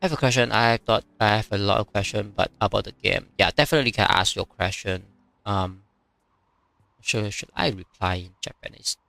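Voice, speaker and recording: A man whose first language is Chinese, streaming a strategy game and chatting casually, with many fillers and pauses as he reads viewer comments. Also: lowish voice, creaky, sharp tone, dry recording, soft background music. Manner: halting, many fillers, reads chat aloud.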